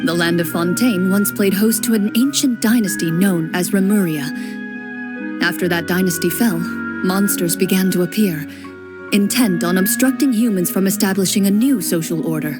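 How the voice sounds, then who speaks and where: Actress for a reserved young swordswoman in a game, mid pitch, calm and measured, clear music bed behind. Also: lightly acted. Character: composed young female duelist, serious and reserved. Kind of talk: game cutscene dialogue.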